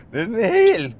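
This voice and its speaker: A man's voice: high-pitched, a little mellow. Chatty man rambling from a minibus. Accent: Danish. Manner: rambling, chatty, musing aloud with restarts. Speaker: bus driver vlogger. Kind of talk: casual vlog monologue while driving. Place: inside a minibus, daytime. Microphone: phone or dashcam on the dashboard.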